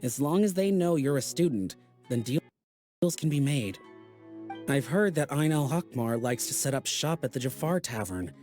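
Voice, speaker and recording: An actor playing a confident young student in a video game, explaining things in an assured, calm way. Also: male voice, medium pitch, normal speed, faint music behind, close to an everyday voice. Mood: confiding.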